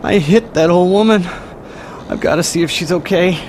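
A man playing a smooth-talking, reckless boyfriend behind the wheel in a horror radio drama. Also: sits high, normal speed, darkish tone, a little hiss or hum, natural voice. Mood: concerned.

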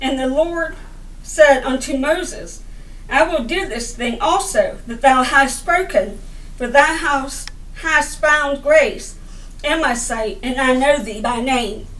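A middle-aged woman reading the Bible aloud as a sermon, in deliberate broken phrases with stumbles over the words. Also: sits high, fairly bright tone. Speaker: lay preacher reading scripture. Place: indoor room by a window. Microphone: camera a little way off. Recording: roomy, distant camera microphone.

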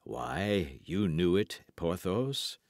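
A man voicing a mild, sly young-cleric sort of musketeer, soft-spoken and measured, in a storybook reading. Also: low voice, sing-song, no room sound, studio quality, exaggerated voice. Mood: calm, dismissive. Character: mild, patient, sly musketeer who would be a priest.

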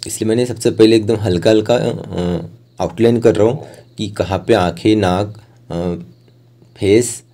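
A young man gives a step-by-step instructional voice-over, explaining plainly and pausing mid-sentence to find his words. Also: on the low side, warm tone. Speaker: art tutorial host.

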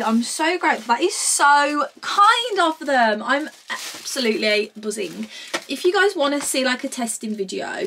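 A woman's voice: on the high side, gravelly, bright, forceful tone, a little hiss or hum. A woman in her twenties with a British accent, chatting casually to camera in a gushing, informal vlog style at home. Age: 20s. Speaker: vlogger. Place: small room at home, indoors.

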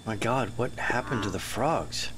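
A man's voice: medium pitch, a little slow, background noise, lightly acted. A man playing a concerned, puzzled geologist in an audio drama, full of questions.

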